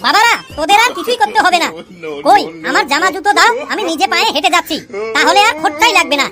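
A man voicing an eager, show-off cartoon schoolboy who recites confidently in a pitched-up voice. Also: animated, fast talker, music in the background. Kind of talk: comic cartoon recitation and storytelling.

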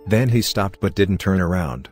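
A man with a very deep voice narrates horror stories in a steady, measured way. Soft music plays faintly underneath him.

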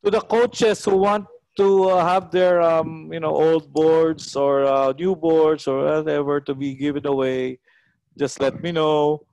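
Halting Filipino man, higher-pitched voice. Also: flat delivery, darkish tone, no room sound, low-quality recording. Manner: halting, repetitive. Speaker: online auction host. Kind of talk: casual live hosting on a video call. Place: small room at home, indoors. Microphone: headset on a video call.

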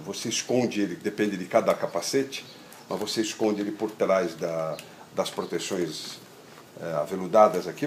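A middle-aged Brazilian man with a fairly low voice talks through a practical demonstration. He goes step by step in an informal, halting way, repeating words and checking that he is followed. The sound is echoey, with faint ambient noise. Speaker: product demonstrator. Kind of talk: informal hands-on tutorial, explaining step by step. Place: outdoor patio table in a garden. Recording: roomy echo, steady ambient noise.

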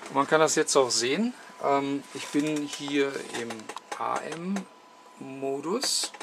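A man's voice: mid-range voice, takes it slowly, vocal fry, background noise. A man gives an unscripted technical explanation as a host, methodically and with frequent hesitations. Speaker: host demonstrating electronics repair. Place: indoor electronics workbench.

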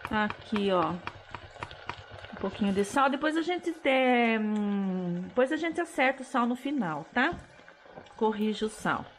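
A woman's voice: on the high side, animated, takes it slowly, pauses often, slight background noise, low-quality recording. A middle-aged woman with a Brazilian Portuguese accent, chatting casually as she cooks in her home kitchen and explaining each step, recorded on a head-mounted camera. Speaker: cooking vlogger. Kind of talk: casual cooking chat to camera.

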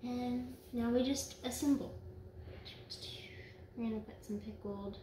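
A young woman with a fairly high voice walks through a recipe in her home kitchen, calm and instructional. The sound carries some room echo from the kitchen walls, picked up by a camera mic across the room, with a faint background hum. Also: unhurried. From South Louisiana. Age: young adult. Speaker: cooking show host. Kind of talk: cooking demonstration and history narration.

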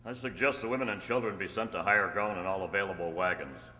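A man's voice: low voice, quick pace, bright, forceful tone. An older man playing a resolute, earnest masked cowboy hero in a staged old-time radio drama. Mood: firm. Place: stage in a hall. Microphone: stand microphone. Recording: echoey hall, noisy room ambience.

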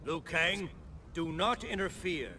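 An actor playing a solemn thunder god, desperate to protect Earth, in a formal, declamatory video game style. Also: male voice, on the high side, slow pace, bright, forceful tone, slight background noise. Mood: urgent, commanding. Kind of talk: dramatic video game cutscene acting. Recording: game cutscene with music underneath.

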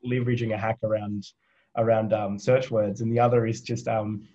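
A man's voice: lowish voice, level tone, a little fast, warm tone. A man from Australia hosting a video panel, summing up and asking long questions with lots of ums. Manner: sums up, asks long questions with many fillers. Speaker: host and moderator. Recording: dull, lo-fi video call audio.

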